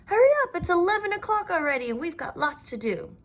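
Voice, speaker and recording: A woman's voice: very high-pitched, sing-song, fast, voice pushed up, full character voice. A woman voicing a busy, cheerful storybook grandmother who calls out and exclaims. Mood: hurrying.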